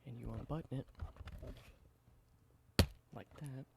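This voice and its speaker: Male ASMR creator with a gravelly voice and a mid-range pitch who whispers slowly through a roleplay. The recording has audible hiss. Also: steady tone. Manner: soft whisper slipping into low spoken voice, unhurried. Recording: noticeable background hiss.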